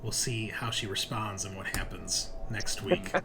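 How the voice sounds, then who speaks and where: A man's voice: lowish voice, fairly even intonation, measured pace, music in the background. A man running an online tabletop roleplaying game as game master, explaining things conversationally. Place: indoors at a computer, online game session. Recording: background noise with keyboard typing.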